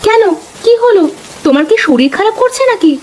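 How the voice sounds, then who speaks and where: A woman voicing a concerned, shrewd and devout village fisherman's wife in an animated folk tale, expressive and caring. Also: extremely high pitch, fairly quick, slight background noise, rough recording. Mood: alarmed, questioning. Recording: music behind the voice.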